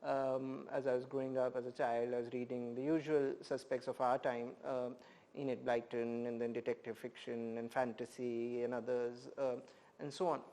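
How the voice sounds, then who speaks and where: A professor and poet from India answers interview questions at length. He speaks in a reflective, measured way, often pausing to find the right word. His voice sits at an ordinary middle pitch. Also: level tone, warm tone, rough recording. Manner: thoughtful, measured, many "uh" pauses.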